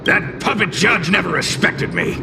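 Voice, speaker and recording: A scornful little game demon. The voice is creaky and leaps dramatically up and down, brash and theatrical. Noticeable background noise runs underneath. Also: male voice, high-pitched, wildly swooping pitch, normal speed, full character voice. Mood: gruff, grudging. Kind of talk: video game voice acting.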